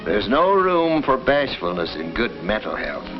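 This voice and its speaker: A kindly family doctor in a 1950s educational film, reassuring and folksy. His delivery rises and falls in a lively way as he explains things like a father. The old soundtrack is dull, with music clearly behind him. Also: on the low side, unhurried. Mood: explanatory, reassuring. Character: kindly small-town family doctor recounting cases, reassuring. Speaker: narrator and doctor.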